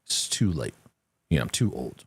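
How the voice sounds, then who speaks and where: A man's voice: very deep voice, very animated, normal speed, gravelly, slightly rough, no room sound. A man hosting a live question-and-answer broadcast, giving earnest, reassuring faith-based teaching. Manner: earnest, reassuring, lots of restarts. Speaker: host.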